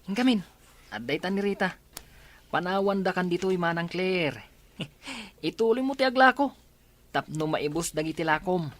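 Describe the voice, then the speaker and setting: A woman's voice: lowish voice, normal speed, pauses often, lightly acted. A woman with an Ilocano accent voicing a cheeky, giggling schoolboy in a radio drama. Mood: teasing. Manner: giggly, teasing.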